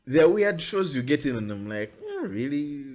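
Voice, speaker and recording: A man's voice: fairly high pitch, moderate pace. Chatty Ugandan man hosting a podcast. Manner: chatty, animated storyteller. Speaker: podcast host. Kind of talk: casual chat.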